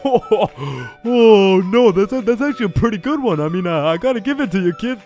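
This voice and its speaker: A man's voice: sits high, sing-song, moderate pace, darkish tone, soft background music, pitched above the performer's own voice. A man voicing a teasing, volatile, profane male school principal in a cartoon story. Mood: amused.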